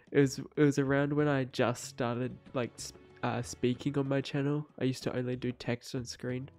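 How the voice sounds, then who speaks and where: A man's voice: medium pitch, darkish tone. Slightly nasal young guy. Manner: rambling, many fillers and restarts. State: stuffy nose from a cold. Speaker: Minecraft builder narrating his speedbuild. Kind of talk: casual voice-over commentary.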